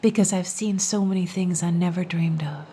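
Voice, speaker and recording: Woman doing a sea-spirit woman, sorrowful, breathy whisper, soft riddling manner. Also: lowish voice, fairly even intonation, slow, a little hiss or hum, voice pushed down. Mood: sad whisper. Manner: soft, sad, speaks in riddles.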